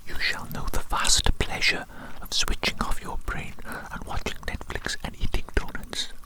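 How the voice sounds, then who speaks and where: A mad scientist doctor, acted out by an ASMR performer speaks entirely in a breathy whisper. The delivery is slow and musing, with phrases softly repeated. The character dotes on a creation while pondering dark ideas in an intimate roleplay monologue. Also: natural voice, poor audio. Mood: confiding, soothing.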